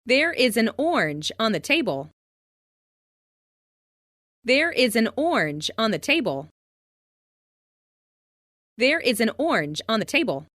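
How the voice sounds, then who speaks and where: A woman reading scripted English example sentences for language learners, enunciating carefully and deliberately. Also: fairly high pitch, sing-song, a bit bright, no room sound, studio quality. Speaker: English lesson narrator.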